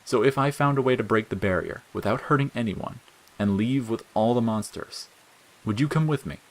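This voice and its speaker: A man playing a gentle, tired young boy in an audio story, calm and terse. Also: mid-range voice, normal speed, voice pushed up, close to an everyday voice, low-quality recording. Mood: earnest, tired. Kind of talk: audio fiction character acting.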